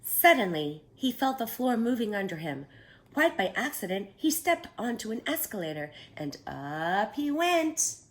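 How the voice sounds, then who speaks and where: A woman reading a children's picture book aloud at storytime, animated and pausing to ask her young listeners questions. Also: on the high side.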